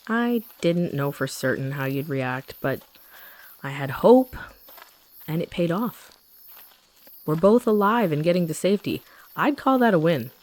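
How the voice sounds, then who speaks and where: A woman acting as a young female hunter who has turned rebel, speaking in a low register. She is gentle and confiding, carrying guilt, in the intimate manner of roleplay audio drama. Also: lively intonation, unhurried, pauses often, poor audio. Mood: calm, teasing. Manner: soft, confiding, addressing the listener directly.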